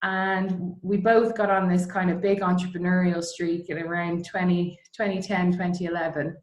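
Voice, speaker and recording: A woman's voice: on the low side, little pitch movement, moderate pace. A middle-aged woman with an Irish accent chatting informally as an interview guest about her own career. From Dublin, Ireland. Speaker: interview guest, distillery co-founder. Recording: roomy, echoey sound.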